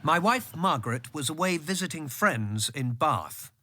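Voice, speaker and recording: Bright-voiced memoir narrator. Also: male voice, fairly high pitch, expressive, unhurried, dry recording, clean studio sound, full character voice. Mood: matter-of-fact. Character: male narrator recalling his political life, composed. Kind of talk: first-person radio drama narration.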